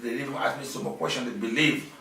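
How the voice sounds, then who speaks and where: A man's voice: mid-range voice, gravelly, sharp tone, slight background noise. Nigerian-born man, echoey and distant. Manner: halting, many fillers and restarts. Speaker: interviewee, businessman. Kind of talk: casual interview answers. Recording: echoey room, mic at a distance.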